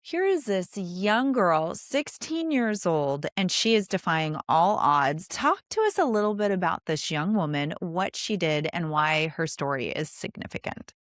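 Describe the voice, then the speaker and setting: Female podcast host, lower register, earnest and emphatic, close dry sound. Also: big pitch swings, unhurried, few pauses, dry recording. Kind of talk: conversational interview.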